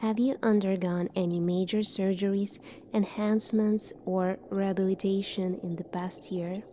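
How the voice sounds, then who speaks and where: A woman in a soft-spoken ASMR roleplay, playing a calm, formal military doctor in a measured, clinical manner. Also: medium pitch, a little hiss or hum.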